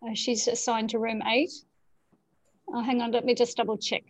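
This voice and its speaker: A woman co-hosting an online session over a video call, hesitant and filling pauses with ums while she manages the group. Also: a little high, level tone, no echo. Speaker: co-host managing breakout rooms. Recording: dull lo-fi call audio.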